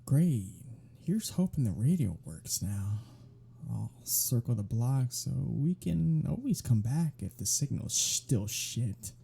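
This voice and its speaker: A sardonic vampire predator, acted out by a performer in an ASMR audio drama, teasing and unrepentant. The voice is very husky and breathy, with a dark, soft tone. The words come slowly and casually, with swearing mixed in. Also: medium pitch, sing-song, faint music behind, exaggerated voice. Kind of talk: ASMR roleplay audio drama, one-sided dialogue.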